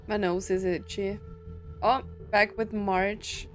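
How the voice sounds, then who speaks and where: Female gaming streamer, medium pitch, slow casual reactions, faint game music underneath. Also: flat delivery.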